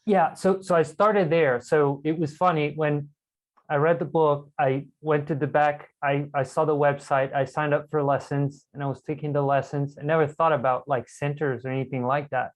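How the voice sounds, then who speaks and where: A man's voice: fairly high pitch, flat delivery, moderate pace, rough recording. Candid, self-amused Brazilian man on a video call. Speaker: podcast guest. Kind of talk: casual storytelling conversation.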